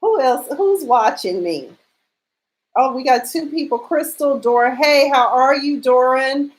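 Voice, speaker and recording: A woman from rural Mississippi with a Southern accent, hosting a casual radio chat show, excitable and playful. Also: sits high, a little slow. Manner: excitable, loud exclamations, playful.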